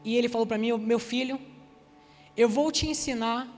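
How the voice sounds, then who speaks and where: A man in his forties from Salvador with a Bahian accent, preaching a sermon and telling personal stories, speaking to the congregation directly with lively asides. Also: very high-pitched, moderate pace, long reverb tail, soft background music. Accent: Bahian Brazilian Portuguese. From Salvador, Bahia, Brazil. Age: 40s.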